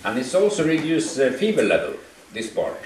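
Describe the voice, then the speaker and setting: A man's voice: mid-range voice, wildly swooping pitch, normal speed. A man whose first language is Sami, explaining things to a tour group in careful non-native English. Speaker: tour guide. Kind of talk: guided tour talk to visitors. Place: indoor venue with tour group. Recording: echoey room from far off with faint noise.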